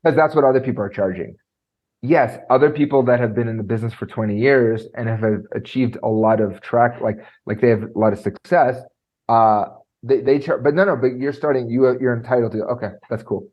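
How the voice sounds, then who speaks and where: A man coaching on presentation skills, talking in an animated way and filling his speech with "like" and "right?"; he has a trained high singing voice. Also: a little fast, highs cut off. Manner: animated, lots of fillers like "like" and "right?". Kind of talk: conversational podcast interview.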